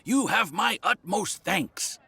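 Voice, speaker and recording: A man's voice: high voice, animated, slow pace, creaky, bright, forceful tone, slight background noise, heavily acted. A man voicing a jovial, slightly oily middle-aged landlord in an anime game, effusive and theatrical. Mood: delighted, grateful.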